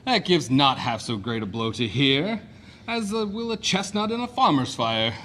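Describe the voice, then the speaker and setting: A man playing a blustering, boastful gentleman fortune-hunter in a declamatory Shakespearean audio play. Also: mid-range voice, sing-song, moderate pace, slight background noise. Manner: declamatory, swaggering.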